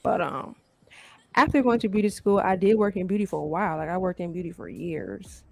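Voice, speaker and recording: Low, creaky-voiced woman chatting casually. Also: animated, a little fast. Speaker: show guest. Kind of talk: casual chat.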